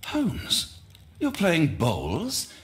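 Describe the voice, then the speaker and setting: An actor playing an exasperated, fussing Victorian gentleman companion with an English accent and an incredulous manner in a video game. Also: on the high side, huge pitch swings, very slow, exaggerated voice. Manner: incredulous, questioning. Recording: loud background noise with clicks.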